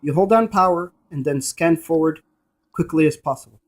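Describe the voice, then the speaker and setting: A man's voice: on the high side, normal speed. A man giving a casual, emphatic and repetitive hands-on gadget demonstration. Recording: noisy room with device static. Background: radio scanning static.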